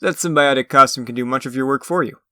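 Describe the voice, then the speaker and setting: A man's voice: medium pitch, quick pace, sharp tone, no room sound, clean studio sound. A man playing a theatrical magician and escape artist in a comic dub, lecturing in a grandiose, urbane and condescending way. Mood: instructive.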